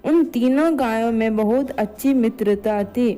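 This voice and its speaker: A woman's voice: on the high side, unhurried, warm tone. A woman narrating a scripted moral story as a storyteller. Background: background music.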